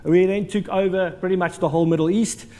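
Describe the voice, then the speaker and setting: A man's voice: sits high, steady tone, brisk, mellow, big-room sound. A man with a South African accent giving a jokey, conversational conference talk, with lots of ums and uhs. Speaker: conference speaker. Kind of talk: conference presentation. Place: large conference hall.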